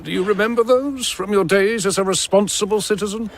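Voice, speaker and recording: An actor playing a haughty, domineering husband and slave owner in an audio drama, imperious and contemptuous. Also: male voice, high-pitched, fairly quick. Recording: clear background noise.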